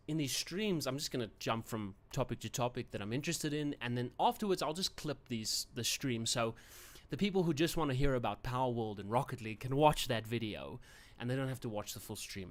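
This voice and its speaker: A man's voice: medium pitch, expressive, a little fast, hardly any pauses. A young adult man with a South African accent and Afrikaans as his first language, hosting a casual livestream and rambling between topics with fillers and self-corrections. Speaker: channel host.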